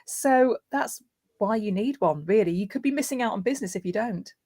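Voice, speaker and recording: A woman's voice: mid-range voice, lively intonation, fairly quick, dark tone, slight background noise. A woman speaking as a guest voiceover artist, giving practical tips conversationally and illustrating points with everyday examples.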